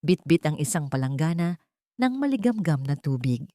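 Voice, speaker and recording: Low-voiced woman storyteller, dry sound. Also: sing-song, moderate pace, mellow, no echo. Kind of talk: dramatic scripted narration.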